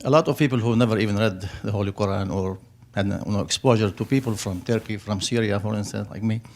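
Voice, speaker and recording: Earnest low-voiced Arabic-accented man. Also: fairly even intonation, quick pace. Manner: earnest, wordy, circling back to rephrase. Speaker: audience member asking a question. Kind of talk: long audience question.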